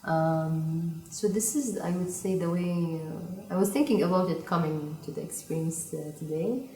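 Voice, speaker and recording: A woman's voice: on the low side, normal speed, mellow. A woman sharing a personal reflection thoughtfully, often searching for words. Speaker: workshop participant. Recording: echoey room, distant mic.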